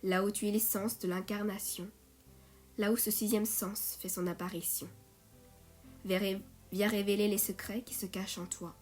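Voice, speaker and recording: A woman reciting poetry in a measured way, phrase by phrase. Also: mid-range voice, little pitch movement. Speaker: poet reading own poem. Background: music.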